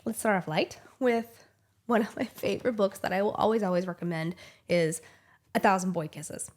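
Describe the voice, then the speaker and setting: A woman's voice: medium pitch, fairly even intonation, moderate pace, a little mellow. Gushy lady in her 30s with a cold, rambling about books, lots of "like". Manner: chatty and gushing, many "like" fillers. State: small head cold, voice a little off. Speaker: book channel host. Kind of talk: casual book review chat.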